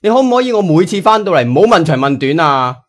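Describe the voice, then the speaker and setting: Casting: surly, snappish young gangster boyfriend played by a man, rapid delivery, radio drama. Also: a little high, sing-song. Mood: irritated, angry. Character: irritable young gangland boyfriend, cold and impatient. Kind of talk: audio drama acting.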